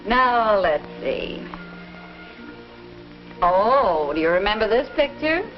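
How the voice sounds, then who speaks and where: An actress playing a patient, gently explanatory middle-aged American mother advising her teenage daughter in a 1950s instructional film. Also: medium pitch, sing-song, slow pace. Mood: thoughtful, reassuring. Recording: dull vintage film soundtrack with music.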